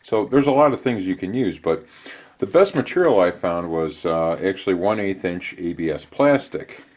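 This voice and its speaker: A middle-aged man giving a casual hands-on how-to demonstration in an unhurried, conversational way with hesitant pauses. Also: sits low, expressive, a little mellow. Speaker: DIY channel host. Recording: clear background noise.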